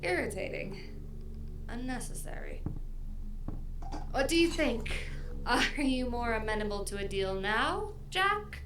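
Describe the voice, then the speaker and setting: Audio drama villainess, cruel, mockingly courteous, unhurried drawl, faint background noise. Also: female voice, on the high side, lively intonation. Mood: gloating, irritated. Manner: mocking, drawn-out, chuckling, menacingly polite. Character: smug theatrical villainess, cruel, falsely courteous employer.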